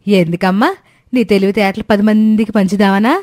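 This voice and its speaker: A woman voicing an exasperated, sarcastic older mother-in-law in an animated story, scolding with dry mockery. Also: mid-range voice, a little fast, natural voice. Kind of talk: Telugu animated moral story dialogue.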